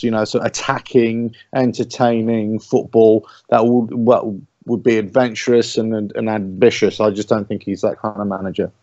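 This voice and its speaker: A man's voice: medium pitch, a little mellow, no echo, lo-fi sound. A man giving his opinions as a fan panelist in a casual football discussion, hedging and restarting often. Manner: opinionated, full of hedges and restarts.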